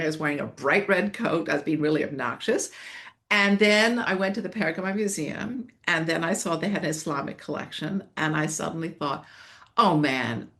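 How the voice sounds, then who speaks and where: A woman's voice: low-pitched, fairly bright tone. An older woman from Switzerland, a scholar guest in an interview, telling stories in a rambling, self-correcting way and laughing often. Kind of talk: reflective interview storytelling.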